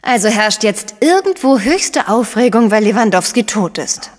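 An actress playing a sharp, sardonic female investigator, questioning and pointed, in a crime radio play. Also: mid-range voice, very animated, normal speed, in a large hall, natural voice. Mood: probing.

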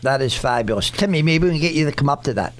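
A man's voice: mid-range voice, lively intonation, rapid-fire. A man hosting a veterans radio talk show, passionate and full of stammered restarts.

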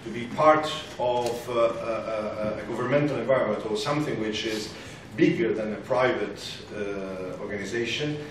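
Male, 50s, halting formal panel speaker, heard from off in a very reverberant meeting room. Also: lowish voice. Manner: halting, hesitant delivery with frequent repeated words. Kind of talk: political round-table address. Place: large parliament meeting room, indoors. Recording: roomy, distant, noisy conference recording.

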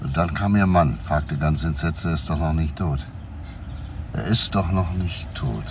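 An actor playing a composed, persistent police detective in a crime radio play, asking probing, deliberate questions. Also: male voice, rumbling low. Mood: explaining. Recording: faint background noise.

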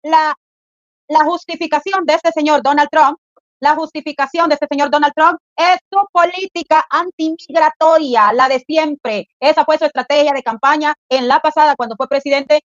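A woman's voice: sits high, fairly even intonation, moderate pace, no room sound. A middle-aged Salvadoran woman giving emphatic political analysis as an analyst, repeating phrases to press her point. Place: indoor room, online video. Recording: dull lo-fi online video sound.

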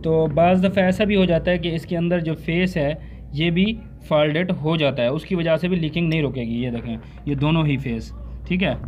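A man's voice: a little high, fairly even intonation, normal speed, muffled recording, a little hiss or hum. A man in his thirties, a truck mechanic, explaining a brake valve repair step by step in a workshop and often checking in with the viewer. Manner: explanatory, repeats "theek hai" to check in with the viewer; uses frequent Islamic phrases like inshallah and mashallah. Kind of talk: hands-on repair tutorial.

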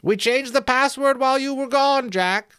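A man voicing a simple-minded, obliging outlaw sentry in a high, thin, trembling storybook voice. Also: moderate pace, bright, forceful tone, clear, resonant tone, no room sound, studio quality. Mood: soothing. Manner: soothing, simple-minded, chatty.

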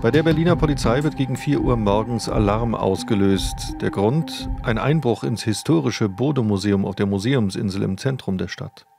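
A man's voice: lowish voice, moderate pace, gravelly, few pauses. A man reading scripted, dramatic crime report narration as a narrator. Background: background music.

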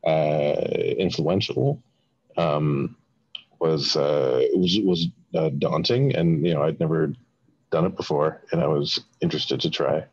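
Low husky man on a video call. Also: darkish tone, dry recording. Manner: halting, many restarts and fillers. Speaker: playwright. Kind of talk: casual interview on a video call. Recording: dull video call audio.

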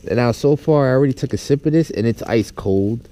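Mellow-voiced man vlogging casually. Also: mid-range voice, a little fast. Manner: informal, unscripted, addressing viewers. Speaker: vlogger, outdoor gear tester. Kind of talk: casual vlog talk. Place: outdoors in a yard, in and around a tent.

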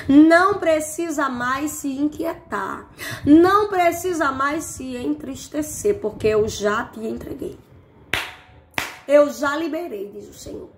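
A woman's voice: high voice, slight background noise. A woman in her thirties delivering a fervent prophetic word, recorded on a phone at arm's length in a small room. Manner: fervent, repetitive, exclaims "eita Deus", gestures a lot. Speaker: prophetic preacher. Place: small indoor room, plain wall. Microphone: phone camera at arm's length.

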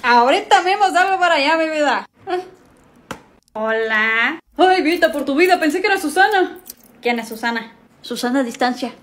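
High-voiced young woman acting a sketch. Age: young adult. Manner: lively, quick character switches. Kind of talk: comedy sketch playing several characters. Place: small indoor room at home.

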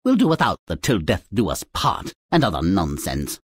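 A woman playing a vain, cruel evil queen in a video game, with a husky voice and an imperious, scornful delivery. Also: very deep voice, wildly swooping pitch, moderate pace, no room sound, studio quality, heavily acted. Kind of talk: video game character acting.

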